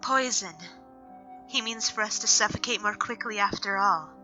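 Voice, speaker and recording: A woman playing an earnest, gentle elf nature mage with a measured, serious delivery in a fantasy audio drama. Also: mid-range voice, dull audio, soft background music. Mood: grim, despairing.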